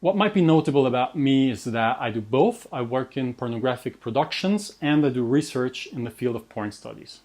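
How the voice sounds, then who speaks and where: A man's voice: medium pitch, animated, normal speed, hardly any pauses, a little mellow. Austrian man introducing his video series. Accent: Austrian German. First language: German. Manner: earnest, self-deprecating, with frequent restarts. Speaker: host and researcher. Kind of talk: scripted personal introduction to camera.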